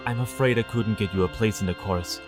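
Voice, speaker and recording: A man's voice: fairly low pitch, fast, over music, natural voice. A man playing a humble, anxious middle-aged father pony in an audio drama, deferential and tender. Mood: tender, regretful. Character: humble, gentle, anxious father stallion violinist.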